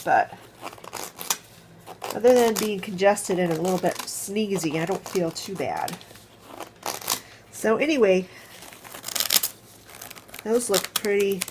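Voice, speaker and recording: A woman's voice: medium pitch, noisy background. A woman hosting a casual home craft tutorial, explaining each step as she thinks aloud, with a congested, stuffed-up nose. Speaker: crafting channel host.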